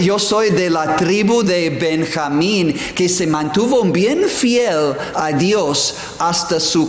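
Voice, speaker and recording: A man preaching a sermon as a pastor, stressing words slowly and restarting phrases. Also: sits high, slight background noise. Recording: echoey hall with faint ambience.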